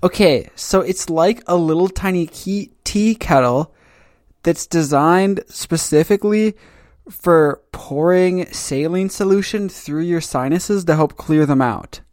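A fairly high-voiced man hosts a podcast, teasing his co-host and joking in an animated, loose way. His voice sounds dry and close-miked. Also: unhurried, no echo. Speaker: podcast host, comic creator. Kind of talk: casual chat.

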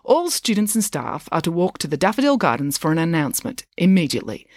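A woman's voice: lowish voice, big pitch swings, fast talker, darkish tone, dry recording, clean studio sound. A woman voicing a bumbling, officious male school official making a flustered announcement in a children's story.

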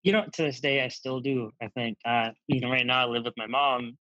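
A man's voice: medium pitch, steady tone, brisk, pushed and bright, no room sound, dull audio. A young man from Zambia raised in the Midwest, talking easily in a casual interview with lots of "you know". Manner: easygoing, many fillers like "you know".

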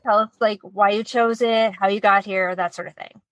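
A woman's voice: mid-range voice, steady tone, moderate pace, no room sound, muffled recording. A woman hosting a podcast, reading an upbeat intro and interviewing a guest with enthusiasm. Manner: enthusiastic, warm praise of guest. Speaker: podcast host.

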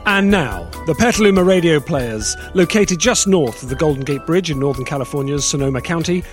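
A man's voice: fairly high pitch, expressive, brisk, over music. A man announcing a radio drama in a scripted introduction.

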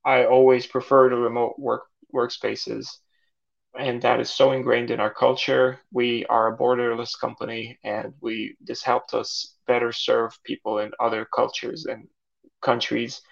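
A young man whose first language is Turkish, answering podcast questions in a halting way, with many fillers and restarts. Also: medium pitch, little pitch movement, gravelly, highs cut off. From Saudi Arabia. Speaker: guest, startup founder.

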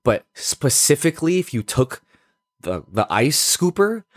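A man's voice: a little high, sing-song, a little slow, dry recording. A young man co-hosting a podcast, telling stories casually in slangy, profane, exaggerated language. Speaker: podcast co-host. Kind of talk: casual chat, storytelling.

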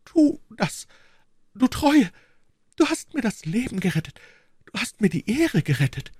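A man's voice: high voice, big pitch swings, moderate pace, dry recording, studio quality, pitched above the performer's own voice. A man voicing an anguished, fearful queen who exclaims breathlessly and dramatically in a storybook reading. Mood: grateful, fervent. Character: anguished queen, high-pitched, fearful and imploring.

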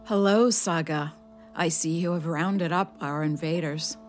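A woman playing a wise, dignified badger abbess who speaks with formal, measured authority in a children's audio drama. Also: low-pitched, steady tone, faint music behind. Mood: dignified, grave.

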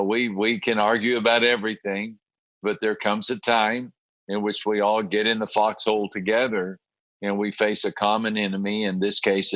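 A man, a pastor, with a raspy voice after a late night, talking in halting conversation, often repeating words and clearing his throat. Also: on the low side, sharp tone, no room sound, low-quality recording. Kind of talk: conversational interview about a prayer group.

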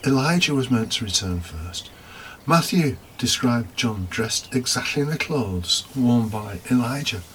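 A man preaching as a deacon, reading a prepared homily in a measured, deliberate way. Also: mid-range voice, lively intonation, a bit bright, a little hiss or hum, poor audio. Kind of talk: homily, read from prepared text.

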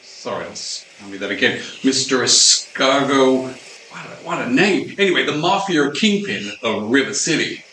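A man's voice: medium pitch, lively intonation, normal speed, sharp tone, a little hiss or hum. A man narrating a scripted old-time style radio serial as its hammy announcer. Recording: echoey, distant, faint music behind.

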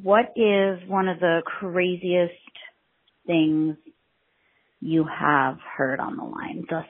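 A woman's voice: lowish voice, fairly even intonation, takes it slowly, husky. A woman hosting a casual interview podcast, teasing and laughing often. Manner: giggly, teasing. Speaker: podcast host. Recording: tinny phone-line sound.

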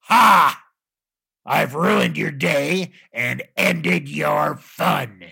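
Grumpy storybook monster, gloating villain, harsh forceful rasp, very slow taunting delivery. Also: male voice, fairly high pitch, pitched above the performer's own voice, exaggerated voice. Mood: gloating menace. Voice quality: raspy, gravelly, strained. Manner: theatrical, taunting, sputtering. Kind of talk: children's storybook character voice.

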